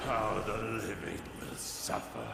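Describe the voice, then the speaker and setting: A man's voice: on the low side, fairly even intonation, takes it slowly, vocal fry, very noisy, full character voice. A man playing a mad undead king in a video game, pompous, vengeful and menacing. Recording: echoey, loud music behind.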